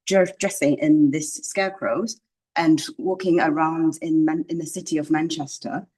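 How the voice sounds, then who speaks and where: A woman's voice: deep voice, a little mellow, rough recording. A woman explaining exhibits as a curator, hesitant, with non-native English phrasing. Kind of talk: informal explanatory interview. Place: indoors in a gallery.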